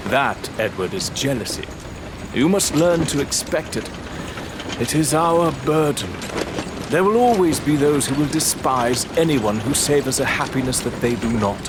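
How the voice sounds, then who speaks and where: A man acts out a haughty disgraced lord in a gothic audio drama. His mid-pitched voice lectures in measured, lofty phrases over the clear noise of a vehicle outdoors. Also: lightly acted. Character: proud, disgraced English lord and father, unrepentant gambler.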